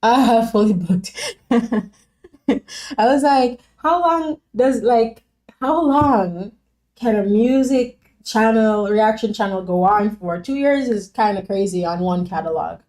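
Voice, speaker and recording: A woman's voice: on the high side, slow pace, darkish tone. A young woman from Jamaica chatting casually on a live stream, reading viewers' comments and answering them by name with lots of fillers.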